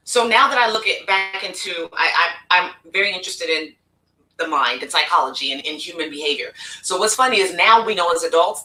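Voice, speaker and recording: Podcast host, woman, curious and chatty, bright tone, echoey room away from the mic. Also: on the low side. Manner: curious, chatty, asks probing questions. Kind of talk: casual podcast interview. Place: home studio. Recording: roomy, distant from the mic.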